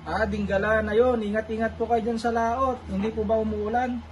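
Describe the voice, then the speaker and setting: A man's voice: high-pitched, level tone, normal speed, heavy background noise. A polite man making a casual two-way radio call as an amateur operator, repeating himself for clarity. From Philippines.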